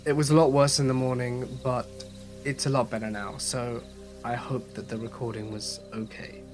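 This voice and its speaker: A man's voice: mid-range voice, little pitch movement, moderate pace, a little mellow, faint music behind. A man with a slight head cold, hosting and narrating a horror story channel in a scripted storytelling style.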